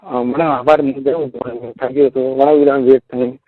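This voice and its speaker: A man's voice: flat delivery, fairly quick. A man with a Rajasthani Marwari accent chatting casually on the phone, often repeating his phrases. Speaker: tailor shop owner. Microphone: telephone. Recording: muffled low-fidelity phone call recording.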